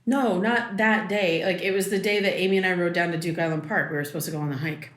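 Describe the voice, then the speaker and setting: Casting: actress for exasperated, worn-out young woman, slightly low voice, guarded casual delivery, roomy echo, audio drama. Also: fairly even intonation, a bit bright, lightly acted. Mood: hesitant. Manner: halting, casual, defensive. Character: exhausted, guarded, exasperated young adult daughter. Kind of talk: naturalistic audio drama dialogue. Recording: echoey room.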